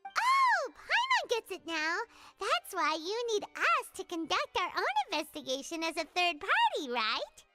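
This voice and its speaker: A woman voicing a tiny, childlike, excitable and cheeky fairy companion in a cartoonish video game, with exclamatory, expressive delivery. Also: extremely high pitch, unhurried, loud music behind the voice, full character voice. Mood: curious. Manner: speaks of herself in third person, exclamatory, expressive.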